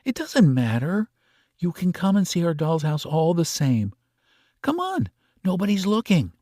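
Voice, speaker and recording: A man voicing a kind, eager young girl in a storybook reading, in a high, light, childlike voice. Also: wildly swooping pitch, unhurried, warm tone, no echo, studio quality, full character voice.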